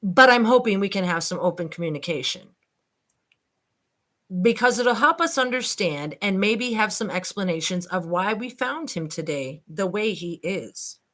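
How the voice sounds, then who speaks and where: A woman's voice: medium pitch, expressive, normal speed, bright, forceful tone. A woman reading a transcript aloud and commenting informally, addressing listeners directly with skeptical asides. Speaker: online commentator and host.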